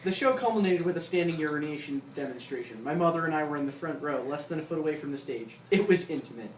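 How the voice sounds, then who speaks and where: An informal reader works through a comic memoir aloud, mid-pitched and given to asides. The voice sits at a distance from the mic in an echoey room, with clear noise in the background. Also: expressive, fast talker. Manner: informal, breaks off with asides and chuckles.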